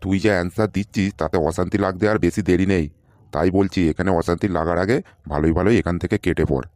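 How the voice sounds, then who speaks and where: A man's voice: low voice, quick pace, noisy background, natural voice. A man voicing an irritable, sarcastic boss in a comedy cartoon, scolding and dismissive. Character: irritable, sarcastic male boss interviewing job seekers.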